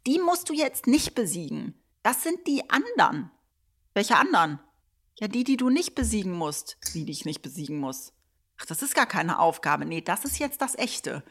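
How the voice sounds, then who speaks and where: Medium-pitched woman, expressive talker. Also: moderate pace. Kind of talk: animated discussion, acting out imagined dialogue.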